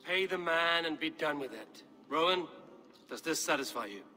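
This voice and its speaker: Stern viking boss for a video game, tense sharp edge, measured and in charge. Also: male voice, fairly high pitch, expressive, faint music behind. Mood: stern, judicial. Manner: firm, measured, authoritative. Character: stern, commanding Viking clan leader passing judgment. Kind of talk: dramatic video game acting.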